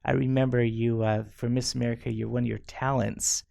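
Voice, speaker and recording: A man hosting an interview show, asking halting questions with frequent fillers and restarts. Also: mid-range voice, flat delivery, creaky, dark tone. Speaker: talk show host.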